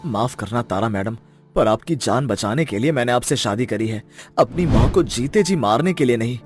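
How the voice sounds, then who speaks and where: A man's voice: medium pitch, expressive, normal speed, soft background music, lightly acted. A man playing a reluctant, reproachful young husband in a cartoon story, earnest and dramatic. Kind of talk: Hindi cartoon story acting.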